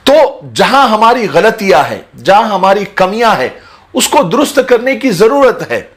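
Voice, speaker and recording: A man giving an earnest, sermon-like commentary to his audience in a measured, emphatic manner. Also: sits high, big pitch swings. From India. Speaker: social commentator speaking to his video audience. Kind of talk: earnest explanatory talk, sermon-like.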